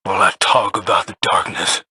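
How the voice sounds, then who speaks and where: A scared, disillusioned crewman making a secret log in a video game. His fairly low voice is extremely breathy and husky yet sharp, with a hushed, haunted delivery. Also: normal speed, heavily acted. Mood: terrified, haunted.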